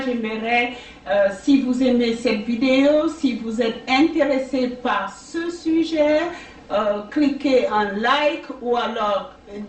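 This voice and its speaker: A French-speaking woman tutoring for language exams in a casual video, talking slowly with many hesitations and fillers. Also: medium pitch. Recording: echoey room, distant mic, faint noise.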